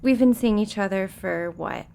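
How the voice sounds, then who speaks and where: A woman's voice: medium pitch, creaky, dark tone, natural voice. A woman playing a blunt, unenthusiastic young woman in a comedic audio drama. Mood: blunt, hesitant. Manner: blunt, unhurried.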